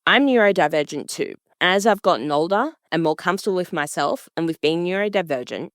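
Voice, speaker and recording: A woman's voice: sits low, no echo, studio quality. A woman reading scripted narration for a radio feature as its producer.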